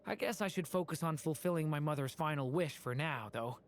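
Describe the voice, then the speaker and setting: A woman voicing a gentle, earnest young male dragon in human form for a video game, speaking softly, thoughtfully and sincerely. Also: low-pitched, brisk, slight background noise, natural voice. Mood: pensive. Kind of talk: English game voice acting.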